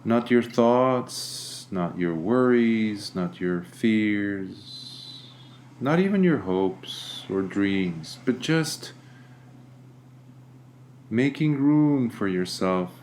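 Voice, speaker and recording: Slow-speaking man guiding a meditation. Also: on the low side, lively intonation, slight background noise. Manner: gentle, deliberate, with long pauses. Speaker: meditation teacher. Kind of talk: guided meditation.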